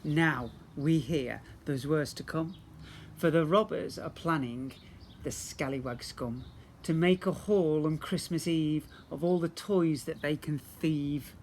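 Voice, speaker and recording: A man's voice: on the high side, takes it slowly, a little mellow, slight background noise. A man reading a rhyming storybook aloud to young children like a teacher, theatrically and with asides to his listeners.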